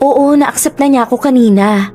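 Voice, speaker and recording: A woman playing a young computer shop attendant who is nosy and bossy, with a brash delivery and quick questions. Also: high voice, dark tone, natural voice. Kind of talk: radio drama acting.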